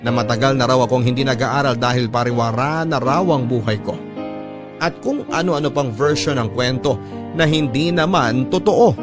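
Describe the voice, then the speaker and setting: Casting: Filipino-accented man, medium pitch, radio drama storyteller reading confessional narration over music. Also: moderate pace. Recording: music behind the voice.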